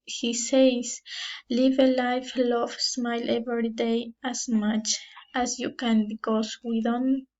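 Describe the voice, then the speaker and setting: A young Ecuadorian student with a Spanish accent reads her prepared presentation, stopping to correct herself now and then. Her voice sits a little high but barely rises or falls, and she takes her time. The recording sounds dull and lo-fi. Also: level tone, a little mellow. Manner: halting, with restarts and self-corrections. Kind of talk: reading a prepared class presentation.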